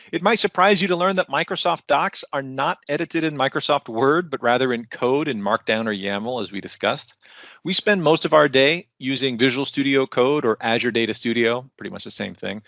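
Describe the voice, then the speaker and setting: A man's voice: mid-range voice, creaky, fairly bright tone, dry recording, dull audio. A man giving an explanatory online conference presentation as a technical presenter, with instructional phrasing and light asides. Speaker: technical content developer presenting.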